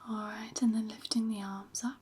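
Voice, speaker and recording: A woman speaking in a breathy, whispered ASMR style as a physiotherapist, gently giving reassuring instructions. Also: fairly high pitch, level tone, normal speed. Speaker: ASMR performer playing a physiotherapist. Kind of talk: soft-spoken ASMR medical roleplay.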